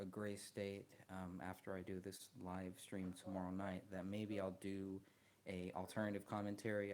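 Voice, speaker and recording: A soft-spoken man chatting casually on a live stream, hesitant, with many ums and restarts, close to the mic. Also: low voice.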